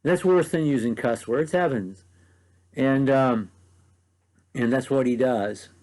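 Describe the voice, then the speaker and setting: A man's voice: medium pitch, slow, a little mellow. A man with an Ohio Valley accent giving a short devotional Bible teaching in an earnest, conversational way, with frequent ums. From mid-Ohio Valley, USA. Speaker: preacher.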